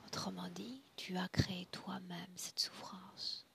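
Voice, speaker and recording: A woman guiding a trance session in a solemn, deliberate, commanding way, often dropping to a breathy hush. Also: on the low side, fairly even intonation, in a whisper. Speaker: spiritual hypnosis session guide. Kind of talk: guided trance session, slow solemn address.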